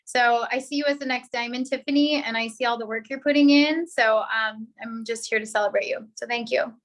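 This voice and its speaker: A woman on a team video call, giving upbeat, celebratory shout-outs to colleagues. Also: a little high, fairly even intonation, brisk. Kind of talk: casual video-call speech.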